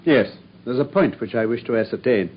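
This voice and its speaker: An actor playing a brilliant, self-assured Victorian detective with an English accent and a wry, commanding manner in a radio drama. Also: male voice, medium pitch, a little fast, natural voice. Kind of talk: British radio drama acting. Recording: muffled radio recording with faint noise.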